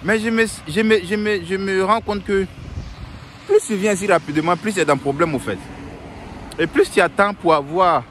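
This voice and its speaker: A man's voice: high voice, fairly bright tone, slight background noise. A young man giving earnest, repetitive advice in a casual monologue, often restarting his sentences.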